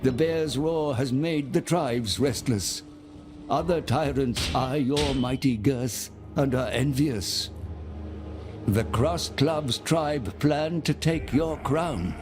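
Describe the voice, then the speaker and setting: An actor playing a servile, scheming advisor, giving a solemn, coaxing briefing in a game. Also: male voice, mid-range voice, slow pace, music in the background, close to an everyday voice. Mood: solemn urging. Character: servile, scheming male advisor to an ogre lord.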